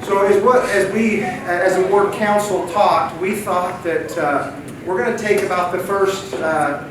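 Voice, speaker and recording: A man introducing a church lesson, earnest and halting, often repeating his words. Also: high voice, a crowd in the background. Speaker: bishopric member leading a church lesson. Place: church meeting room. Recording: echoey hall, distant mic, noisy.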